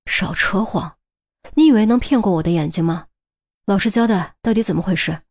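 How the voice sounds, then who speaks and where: 女声演绎的干练严肃女警官. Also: female voice, medium pitch, fairly quick, a little mellow, close to an everyday voice. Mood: probing. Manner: authoritative, clipped, no-nonsense. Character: firm, brisk senior policewoman, adult, exasperated mentor.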